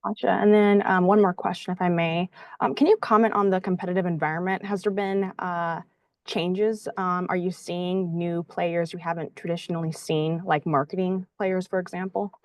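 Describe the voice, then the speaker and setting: A female financial analyst asks polite questions on an earnings call. Her voice is on the low side, and the sound is very dry with no room echo. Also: steady tone, normal speed.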